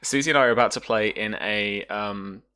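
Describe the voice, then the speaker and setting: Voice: medium pitch, a little fast, pushed and bright, clear, resonant tone. A game master casually hosting a tabletop roleplaying livestream in a chatty, teasing way.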